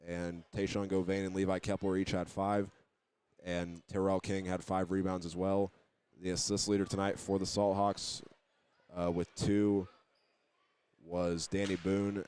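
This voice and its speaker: A man's voice: low voice, a little mellow, clean tone. A man giving postgame basketball radio commentary, reading out statistics with some hesitation. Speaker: sports play-by-play announcer.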